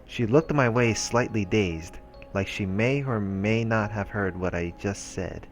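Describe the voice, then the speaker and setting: Low-voiced man reading game narration. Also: measured pace. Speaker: let's play host reading a visual novel aloud. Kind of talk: reading game narration aloud with casual asides. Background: game music.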